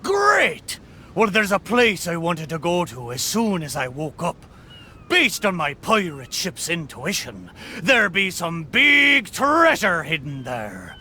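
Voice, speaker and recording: An actor playing a boastful, hearty talking pirate ship in a video game, with exclamatory, theatrical pirate talk. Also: male voice, sits high, sing-song, slow pace, bright, forceful tone, a little hiss or hum, full character voice. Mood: eager. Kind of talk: video game character acting.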